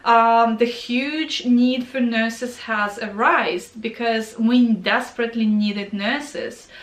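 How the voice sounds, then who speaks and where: A woman's voice: on the high side, fairly bright tone, reverberant. A young woman with a Ukrainian accent who speaks English as a second language, chatting casually as a nurse answering viewer questions, with many fillers and self-corrections. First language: not English. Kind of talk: casual Q&A vlog.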